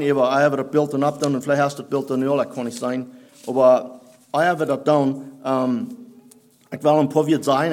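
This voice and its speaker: A man whose first language is Plattdeutsch, preaching a sermon in halting German that he mixes with English phrases. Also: fairly high pitch, a little mellow. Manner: halting, searching for German words, mixing in English.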